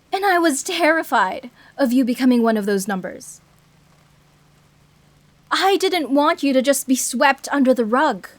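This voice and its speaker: A woman voices a fallen heroine turned villain in an intimate audio drama. Her voice sits fairly high and moves very slowly, with weighty pauses between phrases. She is embittered and fiercely protective, speaking as one making an emotional confession. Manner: deliberate, dramatic, pausing between short phrases.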